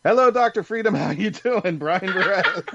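A man's voice: sits high, expressive, moderate pace, gravelly, a bit bright, breathy edge, dry recording. A man hosting a casual panel podcast, chatty and rambling, with enthusiasm. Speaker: podcast host. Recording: dull lo-fi sound.

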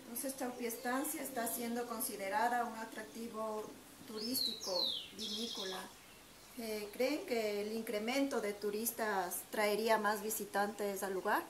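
A woman's voice: a little high, steady tone, unhurried. A young adult woman, politely conducting a student interview. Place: covered brick patio at a winery. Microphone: camera a few metres away. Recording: echoey, distant camera mic, loud ambient noise.

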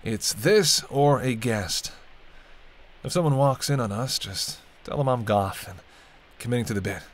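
A man's voice: medium pitch, unhurried, natural voice. A man playing a sardonic, teasing vampire in an audio roleplay, talking casually and wryly to the listener as a reluctant companion. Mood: exasperated, bantering. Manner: wry, bantering, casual, muttered asides. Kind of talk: intimate audio roleplay acting addressed to the listener.

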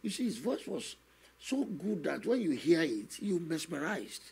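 An older man, a guest minister telling his testimony slowly and earnestly in a studio interview, often adding "you know". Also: a little high, sing-song, a little mellow. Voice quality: gravelly, husky. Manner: slow, earnest storytelling with many "you know" asides. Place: television studio, seated on a set. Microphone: stand microphone in front of him.

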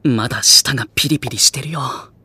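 A man's voice: mid-range voice, fast, noisy background, lightly acted. An actor playing an easygoing, polite teenage boy in a Japanese anime audio drama, casual and mild in manner. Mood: mild, curious.

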